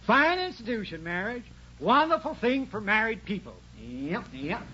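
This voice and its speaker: Casting: chatty small-town barber, bright and animated, rambling droll afterthoughts, 1940s radio sitcom on a dull recording. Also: male voice, high-pitched, moderate pace, breathy edge, heavily acted. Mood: amused, rambling. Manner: rambling, repeats himself, tags jokes with "though". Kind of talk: 1940s radio comedy acting. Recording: muffled, faintly noisy 1940s radio recording.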